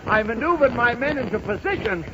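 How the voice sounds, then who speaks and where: An actor playing a pompous, childish, boastful little emperor in a 1930s radio comedy sketch, blustering and silly, on a dull, noisy old broadcast. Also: male voice, extremely high pitch, expressive, brisk.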